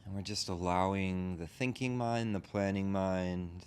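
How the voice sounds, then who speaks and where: A man leading a guided meditation as a teacher, gently instructing in short, spaced phrases. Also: unhurried, smooth. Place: group meditation session in a room. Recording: background rumble and noise.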